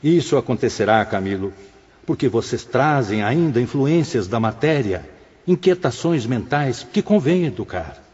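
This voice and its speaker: Um homem interpretando um instrutor espiritual paternal e firme num audiolivro dramatizado, falando de modo formal e pausado. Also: male voice, medium pitch, animated, a little mellow, husky. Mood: gently firm. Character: Sóstenes, kindly authoritative male spirit instructor, fatherly. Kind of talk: scripted audio-book drama in Portuguese. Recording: dull audio with long reverb.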